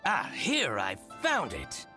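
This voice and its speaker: Casting: grumbling, indignant video game shopkeeper, exclamatory and schemingly theatrical, hugely varied pitch, unhurried. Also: male voice, huge pitch swings, bright, forceful tone, heavily acted. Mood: gloating, grumbling. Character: scheming, indignant male shopkeeper jealous of his rival. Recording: background game music under voice.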